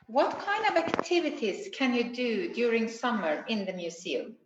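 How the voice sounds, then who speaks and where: A higher-voiced woman hosts a live stream and reads out viewer questions in an upbeat manner. Her voice sits in an echoey room. The audio is muffled, with faint background noise. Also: very animated, moderate pace. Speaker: host reading viewer questions.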